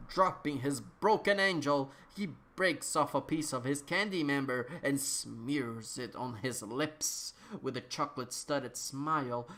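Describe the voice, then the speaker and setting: A person giving a dramatic reading, theatrical and declamatory, with emphatic outbursts and drawn-out words. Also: mid-range voice, pushed and bright. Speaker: reader. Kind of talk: dramatic reading of absurd written text.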